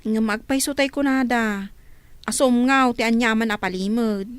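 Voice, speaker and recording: A woman's voice: fairly high pitch, moderate pace, clean tone, dry recording, close to an everyday voice. A woman playing a reluctant, complaining young student who hates farm work, in an Ilocano radio drama.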